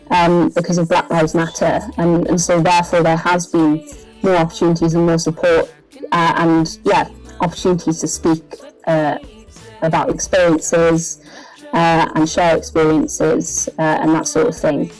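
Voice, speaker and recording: A woman's voice: deep voice, little pitch movement, moderate pace, darkish tone, faint music behind, poor audio. A woman with a Welsh accent giving casual interview answers, thinking aloud with some hesitation. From Wales. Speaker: singer-songwriter being interviewed.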